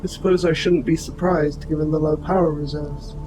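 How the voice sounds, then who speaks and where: Robot soldier, male actor: fairly high male voice, formal and precise, protective and gentle, audio drama with prominent music underneath. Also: steady tone, natural voice, low-quality recording. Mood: calm, caring. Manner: formal, precise, measured wording. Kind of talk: scripted audio roleplay, addressing the listener.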